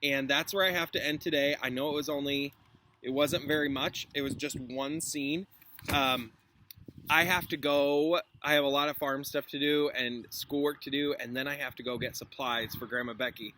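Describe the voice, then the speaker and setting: Bright-voiced male teacher, some background noise. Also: on the high side, fairly even intonation, moderate pace. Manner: informal, interjects explanations and reactions. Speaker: schoolteacher reading aloud to class. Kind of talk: storybook read-aloud with informal chatty asides.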